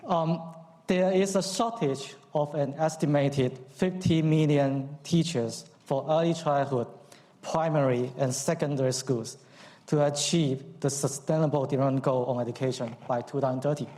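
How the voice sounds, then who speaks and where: A man with a Cantonese accent giving a formal speech at a conference, measured, with frequent ums and uhs. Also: mid-range voice, dark tone. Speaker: conference speaker. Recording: long hall reverb.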